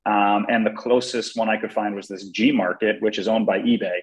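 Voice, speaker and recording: A man's voice: on the low side, fairly even intonation, moderate pace. A man co-hosting a casual business discussion, thoughtful and hesitant, with frequent fillers.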